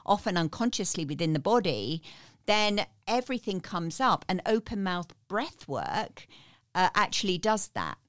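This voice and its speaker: A woman's voice: medium pitch, very animated, normal speed, a bit bright, no echo, clean studio sound. A woman guest on a podcast, earnestly explaining energy techniques and repeating her key words. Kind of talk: podcast interview.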